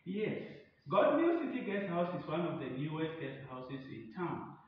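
A man's voice: very animated, moderate pace. A man in his thirties playing a chatty, eager receptionist recommending a place in a promotional skit, recorded in a tiled room. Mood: eager, persuasive. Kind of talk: acted promotional dialogue. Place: indoors, tiled front room. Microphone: distant camera or phone across the room. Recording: echoey room, distant dull mic, rumbling noise.

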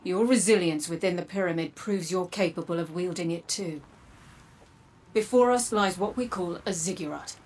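A woman voicing a calm, enigmatic android mentor in a video game, speaking earnestly and deliberately. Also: fairly low pitch, natural voice. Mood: calm, persuasive.

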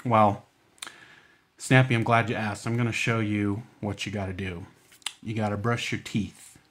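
A man's voice: fairly low pitch, fairly even intonation, a little slow, a bit bright. An American man hosting a children's storytime, speaking in a folksy, informal, coaxing way with frequent check-in questions. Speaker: library storytime host.

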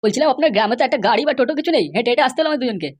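A man voicing a cheeky, nagging middle-aged father in a comic cartoon, brash and mocking, with a pitched-up voice. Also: animated, breathy edge, heavily acted. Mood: grumbling. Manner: brash, mocking, fast-talking.

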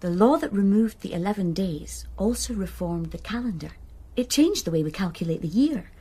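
An actress playing an enthusiastic friend who explains history in a radio drama, with a husky voice. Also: female voice, mid-range voice, expressive, normal speed, dark tone. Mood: eager. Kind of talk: BBC radio drama acting.

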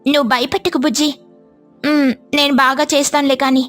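A woman voicing a kindly, modest mother sparrow in a cartoon story, gentle and agreeable in manner. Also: sits high, flat delivery, a little fast, soft background music. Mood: reassuring.

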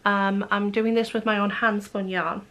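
A woman in her forties, a bit croaky, chatting casually to camera in her living room about her day and her knitting, with lots of ums. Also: mid-range voice, level tone, normal speed, fairly bright tone. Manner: chatty, rambling with many ums. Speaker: knitting vlogger. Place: living room at home, indoors. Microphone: camera close in front.

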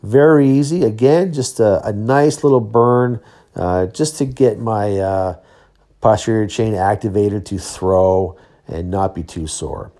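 A man around fifty narrates his training in a casual vlog style. His voice sits fairly low and has a soft, dark, relaxed tone. He talks plainly and matter-of-factly, like an athlete going through his own log. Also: measured pace. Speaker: athlete vlogger, Highland games thrower.

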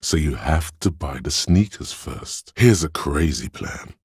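A man playing the cool, analytical inner voice of logic in a video game, speaking in a measured, dryly superior way with a gravelly, husky tone. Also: very deep voice, animated, no echo, clean studio sound, exaggerated voice. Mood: wry, urging. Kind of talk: dramatic video game narration.

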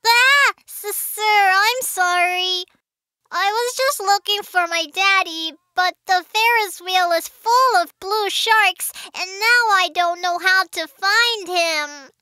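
Kids' cartoon: sweet baby shark child, small squeaky-high voice, excitable, very slow delivery. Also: female voice, no echo, exaggerated voice. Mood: apologetic, worried. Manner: slow, exclamatory, simple phrasing. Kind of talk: children's cartoon acting, addressing young viewers. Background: children's music.

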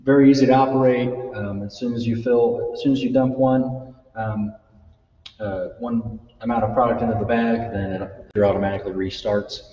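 Young guy demoing a machine, lots of ums, mellow lowish voice, far from the mic in a big echoey hall. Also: fairly even intonation. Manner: casual, halting, many ums and uhs. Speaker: product demonstrator. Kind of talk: live product demo. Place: large trade-show style hall, indoors. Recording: distant echoey hall, dull sound.

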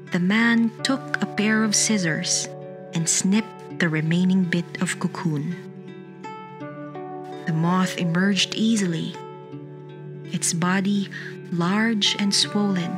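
A woman with a calming voice reading a meditative short story as a guest narrator. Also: medium pitch. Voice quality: calming, soothing. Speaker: guest reader. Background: new-age instrumental music.

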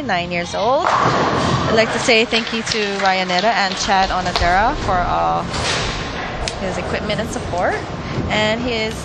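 A woman giving casual, giggly, informal bowling commentary as a co-host. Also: medium pitch. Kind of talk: casual live sports commentary. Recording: loud bowling alley background noise.

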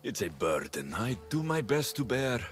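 A man's voice: medium pitch, very animated, normal speed, soft background music, lightly acted. A man playing a rugged mercenary hero in a video game cutscene, wry and understated. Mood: wry, fond.

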